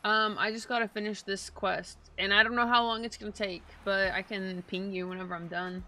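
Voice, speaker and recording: A woman's voice: medium pitch, fairly even intonation, a little fast, sharp tone. A woman casually chatting on a game livestream in a slangy, chatty way. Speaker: game streamer. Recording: game music behind. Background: game music and character voices.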